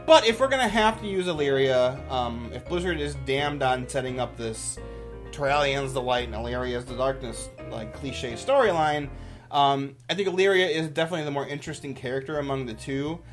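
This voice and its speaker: A man's voice: medium pitch, expressive, fairly quick, faint music behind. A man giving casual, off-the-cuff commentary as a YouTuber, with an enthusiastic, rambling manner.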